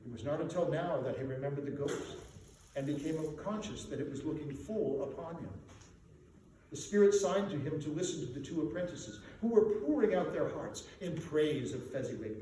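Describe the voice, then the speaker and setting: A man's voice: fairly high pitch, expressive, mellow. Theatrical male storyteller in an echoey room. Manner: theatrical, emphatic, deliberate phrasing. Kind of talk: dramatic literary reading. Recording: very echoey room, distant mic, background noise.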